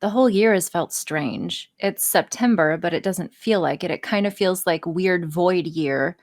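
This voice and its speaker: A woman's voice: fairly low pitch, unhurried, darkish tone. A woman chatting casually on a podcast, talking in a candid, wry way with plenty of swearing. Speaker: podcast guest, audio creator.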